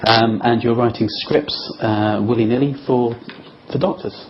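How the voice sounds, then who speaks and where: A man with a mid-pitched voice hosts a casual panel interview. His questions are informal and a little hesitant. The recording is muffled, with clear background noise. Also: fairly even intonation, normal speed. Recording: muffled, noisy room recording.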